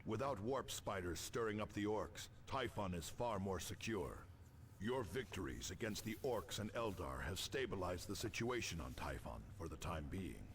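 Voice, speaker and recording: An actor playing an authoritative, grave space marine captain who speaks formally in a video game transmission. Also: male voice, low-pitched, expressive, moderate pace. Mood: measured, serious. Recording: game audio with faint noise.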